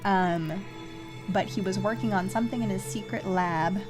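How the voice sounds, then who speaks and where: A woman giving casual game commentary on a livestream, halting as she thinks aloud. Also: mid-range voice, over music. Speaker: game streamer.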